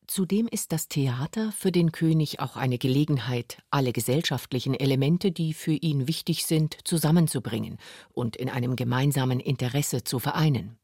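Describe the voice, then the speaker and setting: A woman's voice: rumbling low, moderate pace, warm tone, no echo, clean studio sound. A woman narrating a scripted radio documentary.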